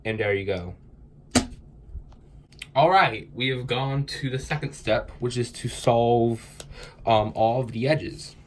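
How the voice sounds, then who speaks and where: A man's voice: mid-range voice, takes it slowly, long pauses. A young man with a stuffy, congested voice from a cold, casually explaining puzzle steps in a loose, rambling way. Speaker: cubing tutorial host.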